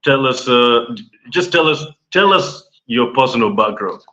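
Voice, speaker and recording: A male interviewer who is the host, prompting his guest loudly and repeating his questions. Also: mid-range voice, animated, measured pace. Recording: dull lo-fi sound from farther off.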